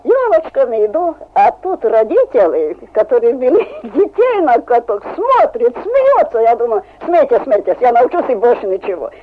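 A high, lively woman in her seventies with a Hungarian accent tells her stories in halting speech and laughs often. She sounds tinny and narrow, as on an old radio recording. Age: 70s. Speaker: interviewee, amateur skater. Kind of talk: radio interview.

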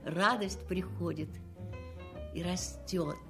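A woman's voice: fairly low pitch, slow. An old spinster lady of the drama stage, speaks with wit and gracious candour. Her manner is reflective and teasing, tinged with wistfulness. Music plays behind her. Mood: lively, gracious. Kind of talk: acted radio-play style dialogue.

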